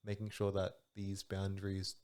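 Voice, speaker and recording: A man's voice: low voice, monotone, moderate pace, a little mellow. A man, a podcast guest chatting easily, laughing often and poking fun at himself. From Australia. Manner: easygoing, laughs often, a bit self-mocking. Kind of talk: casual chat.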